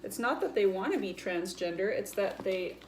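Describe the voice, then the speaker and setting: A woman's voice: mid-range voice, lively intonation, moderate pace, slight background noise. A woman presenting a children's story time, chatting casually and playfully as she shows things and explains them simply. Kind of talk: casual show-and-tell for children.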